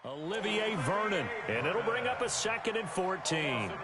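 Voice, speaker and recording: A man's voice: sits high, sing-song, moderate pace. A man with an American accent playing an eager TV play-by-play announcer calling an American football video game. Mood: brisk, eager. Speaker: play-by-play sports commentator. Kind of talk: American football broadcast commentary. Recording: loud game noise behind the voice.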